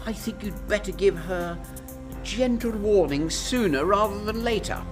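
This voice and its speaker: A woman's voice: mid-range voice, unhurried, close to an everyday voice. A woman acting a curt, exasperated, authoritative Prime Minister in a radio drama. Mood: appalled. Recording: music behind the voice.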